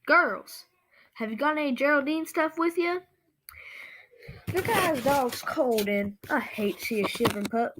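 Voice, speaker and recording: Young woman playing sharp, high-pitched gangster boss. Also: a little slow, a little hiss or hum, rough recording. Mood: bossy. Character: Joe, male gangster boss, bossy, soft on dogs. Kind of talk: student radio play acting.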